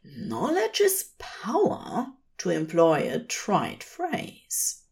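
A woman's voice: on the low side, wildly swooping pitch, drawn-out words, vocal fry, a little mellow, exaggerated voice. A woman voicing a prim, anxious, bookish male angel with formal, fussy wording in an audiobook story. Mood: earnest, prim. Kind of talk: fan fiction audiobook dialogue.

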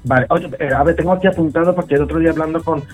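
A man's voice: on the high side, fairly even intonation, racing, darkish tone, faint music behind. A young man from Madrid, a nervous new radio intern who stammers and keeps apologizing. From Madrid, Spain.

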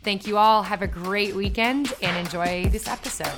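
A woman's voice: medium pitch, moderate pace, loud music behind the voice. A woman hosting a podcast, upbeat and chatty, with plenty of filler words as she introduces and questions a guest. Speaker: podcast host. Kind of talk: casual podcast interview.

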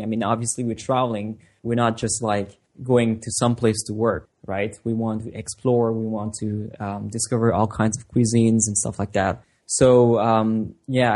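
A man's voice: lowish voice, a little mellow. Man, first language French, interview guest, hesitant, repeats words mid-sentence. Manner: halting, repeats words while thinking. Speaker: designer and guest. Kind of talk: casual interview answers.

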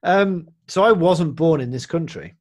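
A man with a Redditch accent, hosting a casual chat and talking in an animated, easily amused way. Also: on the high side, a little slow, no room sound, studio quality. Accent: Redditch, West Midlands. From Redditch, England. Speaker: host.

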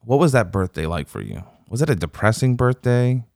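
A man in his thirties chatting casually as a co-host, teasing his partner in relaxed banter. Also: mid-range voice, a little fast, vocal fry, no echo, clean studio sound. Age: 30s. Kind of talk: casual chat.

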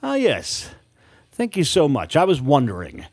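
A man voicing an impatient, quick-tempered woman investigator with a sarcastic edge in an audiobook. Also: on the high side, wildly swooping pitch, a little mellow, slightly rough, no echo, exaggerated voice. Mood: falsely eager.

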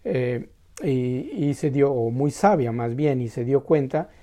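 A man telling a Zen story in a casual, halting way, full of restarts and tag questions. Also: medium pitch, animated, warm tone.

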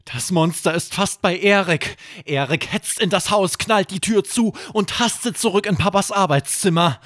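A man narrating a scripted children's bedtime story as podcast host, with expressive, dramatic delivery. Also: sits high, normal speed, dry recording, studio quality.

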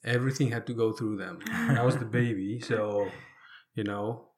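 Low-voiced man telling his life story. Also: steady tone, normal speed. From Fiji. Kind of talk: conversational personal storytelling.

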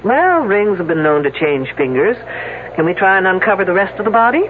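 Wry, lively lady detective played by an actress. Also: medium pitch, fairly quick, narrow, tinny audio, lightly acted. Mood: cool, businesslike. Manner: wry, dry wisecracking. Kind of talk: 1970s radio drama acting. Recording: thin band-limited old radio, noisy.

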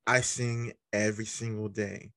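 A man hosting a podcast in relaxed, casual conversation, with a very deep voice and easy laughter. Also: no room sound. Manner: relaxed, laughs easily, halting restarts. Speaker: podcast host.

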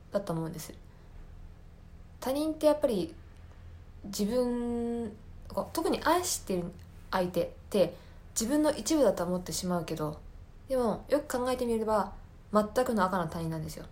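A woman hosting a podcast in a reflective solo talk, speaking earnestly and often pausing to search for her words. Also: mid-range voice, darkish tone. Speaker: podcast host. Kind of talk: reflective solo talk, personal film commentary.

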